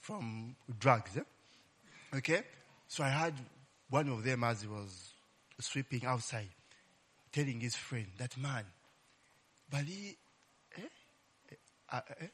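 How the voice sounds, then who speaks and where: A man's voice: medium pitch, lively intonation, slow pace, long pauses, fairly bright tone, slightly rough. A man preaching a casual Bible-teaching sermon, often ending phrases with "eh?" and crying "Glory be to God". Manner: call-and-response, frequent "eh?" tag questions; exclaims "Glory be to God" and "Hallelujah".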